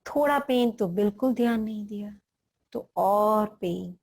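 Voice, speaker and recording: A woman with an Indian accent leading a guided meditation, speaking deliberately in short phrases with long pauses between them. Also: mid-range voice, creaky, a little mellow.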